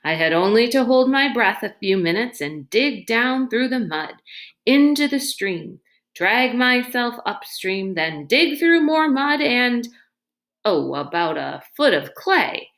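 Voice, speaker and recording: A calm and gallant grown man from a children's storybook, read by a woman. He speaks in a measured, understated way, protective and reassuring. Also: fairly high pitch, pitched above the performer's own voice, exaggerated voice. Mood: matter-of-fact. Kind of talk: children's novel read-aloud.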